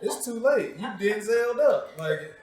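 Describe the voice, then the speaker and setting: A man's voice: high-pitched, animated, takes it slowly, a crowd in the background. An American man hosting a casual interview in an informal, teasing, playful way. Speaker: show host interviewing a guest. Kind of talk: casual, joking interview chat. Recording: echoey, reverberant room.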